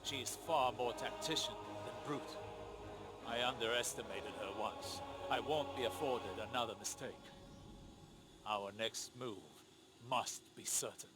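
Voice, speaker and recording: A man's voice: mid-range voice, a little slow, lightly acted. A man playing a stern, erudite sci-fi strategist in a video game, grave and clipped in delivery. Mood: stern urgency. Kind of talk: scripted video game dialogue. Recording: music under the voice.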